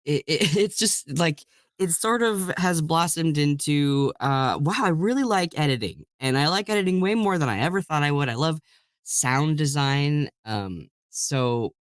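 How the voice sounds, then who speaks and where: A man's voice: fairly high pitch, lively intonation, measured pace, no room sound, studio quality. A man talking casually and enthusiastically as a guest in an interview about his work as a voice actor. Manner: enthusiastic, chuckles while talking. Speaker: guest voice actor and audiobook narrator.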